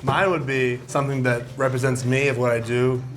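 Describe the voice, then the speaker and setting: A man's voice: mid-range voice, flat delivery, fairly quick, a bit bright, soft background music. A young man in casual conversation as a contestant on a dating show. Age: young adult. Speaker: blind date contestant. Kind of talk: casual chat.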